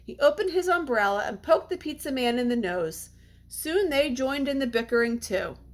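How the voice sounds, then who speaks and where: A woman reading a picture book aloud to young children like a teacher, with a deliberate, expressive delivery that slows for refrains. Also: sits high.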